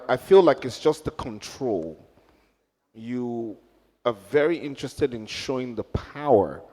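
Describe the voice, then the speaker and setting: A man's voice: medium pitch, a little mellow. A man judging a singer, earnest and searching for his words. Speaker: talent show judge. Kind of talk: live critique. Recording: reverberant hall.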